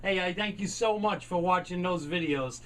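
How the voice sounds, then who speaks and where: A man's voice: high voice, normal speed, fairly bright tone. An American man making contacts as a CB radio operator, trading call numbers and sign-offs in a friendly way. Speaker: CB radio operator and video maker. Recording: noisy, distant mic with radio static.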